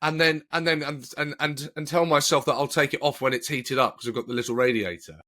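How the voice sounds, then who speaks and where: A man's voice: on the high side, fairly even intonation, fast, bright, forceful tone. A man in his thirties, a comedian co-hosting from home, chatting casually with self-deprecating humour and many stammering restarts. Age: 30s. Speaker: comedian and co-host. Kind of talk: casual chat. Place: at home, joining remotely early morning.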